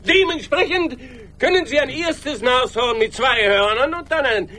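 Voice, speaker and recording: A politely self-important logician in a radio play. He lectures formally and precisely in a very high man's voice, taking his time over every phrase. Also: measured pace, pushed and bright, full character voice. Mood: lecturing. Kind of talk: 1980s German radio play acting.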